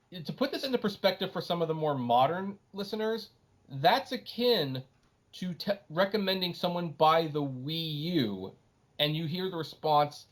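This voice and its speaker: A man's voice: fairly high pitch, a bit bright. A man hosting a podcast, giving his opinions casually and emphatically, often acting out what other people say. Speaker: podcast host.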